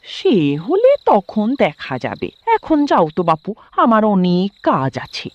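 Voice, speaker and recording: A woman voicing a stingy old village woman in a cartoon moral story, with an expressive, dramatic delivery. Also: medium pitch, slow pace, lo-fi sound. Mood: curt, dismissive.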